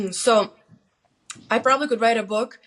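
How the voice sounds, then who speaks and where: A woman in her forties from Lithuania, a guest telling personal stories casually in an animated, self-deprecating way. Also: a little high, unhurried. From Lithuania, moved to the US as a teen. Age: 40s. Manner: animated, self-deprecating, quotes herself and others. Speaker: guest on her daughter's show.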